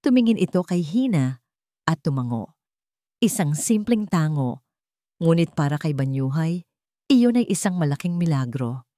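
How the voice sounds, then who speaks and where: A woman's voice: deep voice, big pitch swings, moderate pace, long pauses, mellow, dry recording, studio quality. A woman reading a scripted romantic drama as a story narrator.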